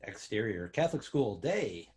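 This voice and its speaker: A man's voice: lowish voice, big pitch swings, moderate pace, a little mellow. A man announcing scene headings and character captions in scripted narration.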